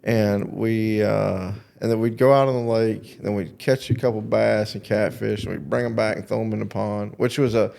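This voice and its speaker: A man's voice: on the low side, steady tone, normal speed, creaky, few pauses, a little mellow. A man in his late thirties hosting a casual interview, chatting in a folksy, slangy way. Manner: folksy, slangy, says "dude" and "bro". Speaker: show host interviewing a guest. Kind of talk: casual chat.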